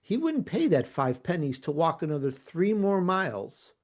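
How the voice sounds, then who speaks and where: A man's voice: fairly high pitch, big pitch swings, normal speed. A middle-aged man with a light Chicago accent, a podcast guest telling his story over a video call in an earnest, emphatic way that repeats phrases. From Italy, raised in Chicago. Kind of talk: conversational interview storytelling.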